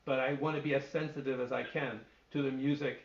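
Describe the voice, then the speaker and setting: A man's voice: mid-range voice, little pitch movement, fairly quick, noisy background. Middle-aged man, echoey lo-fi call. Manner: thoughtful, searching for words with frequent restarts. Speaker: literary translator and poet. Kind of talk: answering questions at an online reading. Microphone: video call. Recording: echoey, dull lo-fi call audio.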